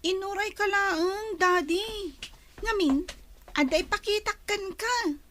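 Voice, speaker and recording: A very high-pitched, childlike schoolgirl played by a woman in a radio comedy drama. She is whiny and scheming, dragging her words out slowly and plaintively. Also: a bit bright, slight background noise, heavily acted. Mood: sly, tattling.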